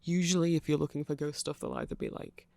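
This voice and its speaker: A performer voicing a nerdy vampire in a casual ASMR roleplay, explaining things in a hesitant, digressive, conversational way with a creaky voice. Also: mid-range voice, huge pitch swings, fast talker, warm tone, dry recording. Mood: nerdy, rambling. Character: nerdy rambling vampire, eager fan explaining band lore.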